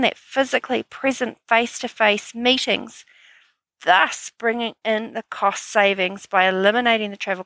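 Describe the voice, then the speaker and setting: A woman's voice: on the high side, a bit bright, no room sound, rough recording. A woman hosting a solo business podcast, sounding a bit stuffy from hay fever, in an upbeat, chatty, explaining manner. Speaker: podcast host.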